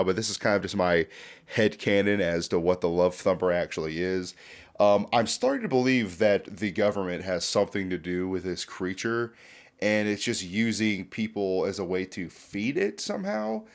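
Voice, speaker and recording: Male video host, lower register, conversational and keen, speculative casual commentary. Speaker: horror series commentator and host.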